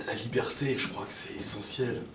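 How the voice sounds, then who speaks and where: A man's voice: medium pitch, steady tone, moderate pace. A man, a film artistic director, speaking reflectively and hesitantly about his beliefs. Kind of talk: personal testimony. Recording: roomy distant mic with noise.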